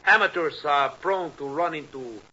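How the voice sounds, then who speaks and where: An actor playing a smooth, menacing Latin American businessman, formal and measured, in radio drama. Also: on the high side, bright, forceful tone. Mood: coolly threatening. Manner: formal, measured, veiled threats. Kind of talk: 1950s radio drama acting. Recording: muffled old radio recording, hiss.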